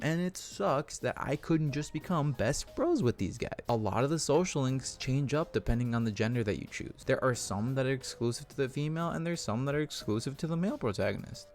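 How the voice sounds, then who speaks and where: A man giving casual, informal commentary on a video game as a reviewer, animated and given to rambling asides. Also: mid-range voice.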